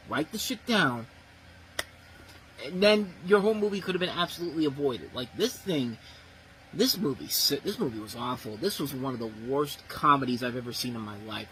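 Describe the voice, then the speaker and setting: A man's voice: medium pitch, fairly bright tone, slight background noise, low-quality recording. Exasperated man ranting about a movie. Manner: blunt, profane, exasperated. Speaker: film reviewer.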